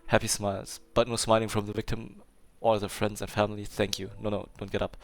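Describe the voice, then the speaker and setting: A man's voice: on the low side, little pitch movement, a little fast, gravelly. A native German-speaking man streaming a game, reading dialogue aloud with muttered commentary. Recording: game music behind the voice.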